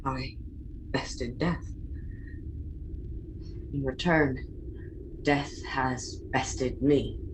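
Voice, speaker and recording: A woman's voice: rumbling low, steady tone, extremely slow, pauses often, slight background noise, full character voice, low-quality recording. A woman playing an exhausted, vengeful dying male scientist in a dramatic staged reading, grim and strained. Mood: weak, defeated.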